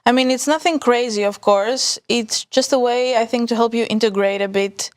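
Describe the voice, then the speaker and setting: Soft-spoken young woman with a clear voice. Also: on the high side, moderate pace. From Greece. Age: young adult. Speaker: guest student. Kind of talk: casual chat.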